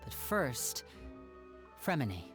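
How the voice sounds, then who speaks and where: A protective young brother figure for an anime game. The voice is mid-pitched and suave, with measured, theatrical phrasing, and music plays quietly underneath. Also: male voice, very animated. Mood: scheming, friendly.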